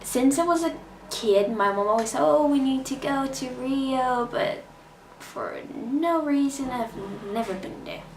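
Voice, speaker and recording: A young Brazilian woman whose first language is Portuguese, speaking English with a mixed Brazilian, American and British accent in a chatty, animated vlog, talking from her bedroom. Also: high-pitched, unhurried, reverberant, background noise. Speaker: vlogger. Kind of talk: casual direct-to-camera vlog.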